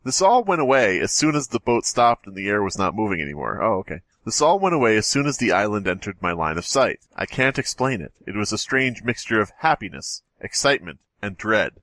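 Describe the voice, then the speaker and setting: Male podcast co-host, lowish voice, very animated mock-dramatic reading, jokey asides, dry close sound. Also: a little fast, hardly any pauses, no room sound.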